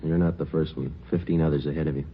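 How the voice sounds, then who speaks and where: A man's voice: rumbling low, fast, a little hiss or hum. A man playing a terse, businesslike police detective sergeant in a radio crime drama, speaking in short, matter-of-fact sentences. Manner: clipped, deadpan, matter-of-fact. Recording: muffled old radio recording, music behind.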